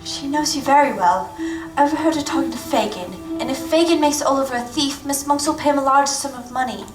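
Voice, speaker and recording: A woman's voice: high voice, fairly quick, music in the background, natural voice. A woman playing a brave, desperate young woman from a thieves' gang in a staged radio play, urgent and secretive. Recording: echoey stage recording from far off.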